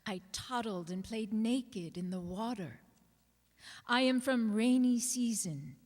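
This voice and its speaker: A woman giving a dramatic reading of personal poetry, in a measured way with deliberate pauses. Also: fairly high pitch, darkish tone. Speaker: actor reading others' writing aloud. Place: reverberant hall, live public reading. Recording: long hall reverb.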